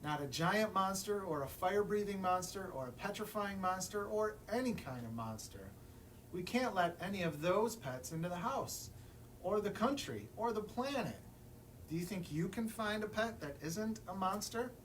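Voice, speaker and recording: A man's voice: high-pitched, lively intonation, normal speed, fairly bright tone. A man reading a children's picture book aloud as a librarian at story time, expressively, putting questions to young listeners. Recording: distant mic, room noise.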